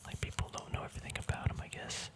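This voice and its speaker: A man whispers softly throughout, with no full voice, in an intimate ASMR style. His delivery is casual and rambling, like a laid-back hobbyist talking a viewer through his collection. He comes across as informal and gently self-deprecating. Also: rapid-fire. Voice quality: soft breathy whisper. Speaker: ASMR card collector host.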